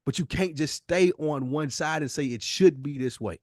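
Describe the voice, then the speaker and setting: A higher-pitched American man argues his case in a casual, heated debate. He is emphatic and slangy, pressing each point like a lecture. The sound is dry and close. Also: moderate pace, dry recording. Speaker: podcast co-host.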